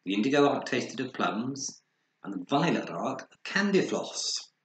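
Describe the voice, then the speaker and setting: A man narrating a children's story with expressive, dramatized delivery, recorded in an echoey room. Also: medium pitch, a little slow. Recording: echoey room with faint noise.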